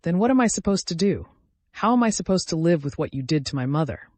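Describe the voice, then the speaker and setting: A man voicing a vengeful, calculating woman in an audiobook, deliberate and accusatory, with bitterly controlled grief. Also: on the high side, very animated, a little mellow, no echo, voice pushed up. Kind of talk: dramatic audiobook character reading.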